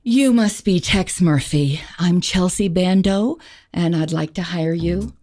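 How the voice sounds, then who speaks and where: A woman's voice: on the low side, a little slow, mellow, close to an everyday voice. A woman playing a coolly polite, mysterious client in a noir detective radio drama, poised and coy.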